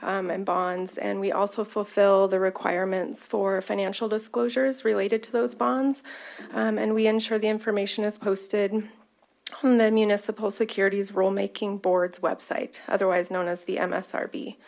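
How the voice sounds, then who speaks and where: A woman finance officer giving formal testimony to a committee, loud-voiced, with frequent "um" fillers and small apologetic asides. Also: medium pitch, little pitch movement, moderate pace, gravelly.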